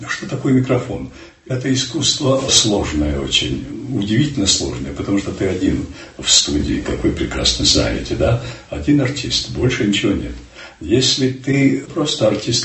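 Older man, deep voice, echoey room. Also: a bit bright, recorded from a distance, a little hiss or hum. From Ukraine. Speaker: stage actor, interview guest. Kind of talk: reflective interview answers.

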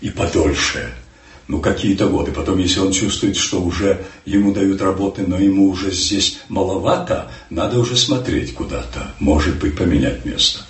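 An older man from Ukraine, a stage actor, telling stories in an unhurried, emphatic way during an interview. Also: deep voice, echoey room, far from the mic. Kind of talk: reflective interview answers.

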